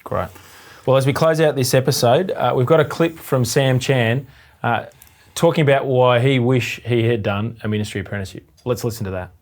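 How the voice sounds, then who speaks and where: Man hosting, medium-pitched voice. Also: fairly quick. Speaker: interview host. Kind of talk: conversational hosting.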